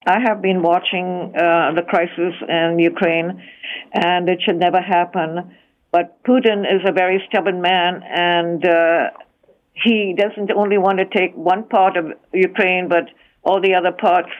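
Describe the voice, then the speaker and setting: A woman calls in to a radio show and speaks earnestly and firmly. Her pitch barely moves. Her voice comes through thin and tinny over a phone line. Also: flat delivery, measured pace. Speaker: caller.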